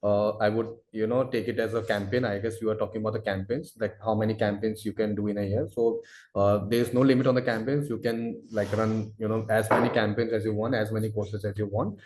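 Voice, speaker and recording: A man answering webinar questions as a product expert, explaining in a halting, wordy way full of verbal fillers. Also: on the low side, level tone, a little mellow, slight background noise. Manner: halting, wordy, repeats filler phrases "you know". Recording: roomy, echoey webinar audio.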